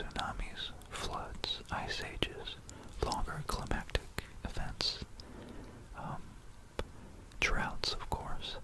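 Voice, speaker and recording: An ASMR host speaking in a breathy, unvoiced whisper, with a gentle, rambling, hesitant bedtime delivery in an informal style. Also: low-quality recording. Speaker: ASMR video creator and host.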